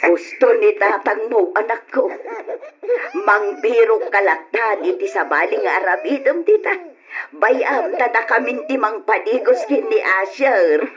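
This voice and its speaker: A slow, expressively swooping voice: an actress as a protective middle-aged Ilocano-accented mother. She is soothing and emotive in old radio-drama style, on a dull lo-fi recording. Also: medium pitch, lively intonation, lightly acted. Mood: cheerful. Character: consoling middle-aged mother, tender and protective of her daughter.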